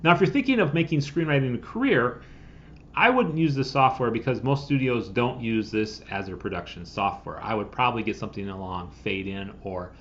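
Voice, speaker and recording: A man in his forties talks to camera as the host of a review video. His voice sits at an average pitch, and he explains things in a casual, conversational way. The sound is a little dull, like a webcam recording made at home. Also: a little fast, fairly bright tone, slight background noise. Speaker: host of a screenwriting software review channel. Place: room at home, indoors. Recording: slightly dull webcam audio.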